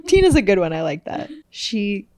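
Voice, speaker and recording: A woman's voice: medium pitch, sing-song, normal speed, warm tone, no room sound. A woman hosting a casual podcast, reading out numbered questions and answering her guest with short, murmured agreements. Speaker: podcast host. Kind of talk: casual interview chat.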